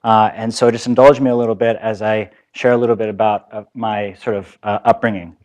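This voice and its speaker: Man giving a relaxed seminar talk. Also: lowish voice, brisk, muffled recording. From Japan. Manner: many fillers and restarts, relaxed explaining. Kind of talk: conference lecture. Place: lecture hall.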